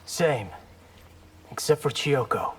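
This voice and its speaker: An actor playing a brash, defiant young ninja in a video game, cocky and sardonic. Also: male voice, medium pitch, slow pace, slight background noise, lightly acted.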